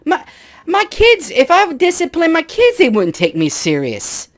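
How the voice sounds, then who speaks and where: A man talking through a pitched-up voice changer that makes him sound like a small child, complaining irritably and swearing as he starts telling his story. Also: wildly swooping pitch, normal speed, no echo, studio quality. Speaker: guest storyteller. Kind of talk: casual chat.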